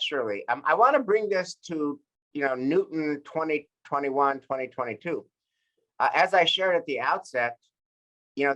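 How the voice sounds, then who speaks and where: A man's voice: on the high side, fairly bright tone, low-quality recording. An American man leading a group book discussion in an earnest, reflective way, often repeating and rephrasing his points.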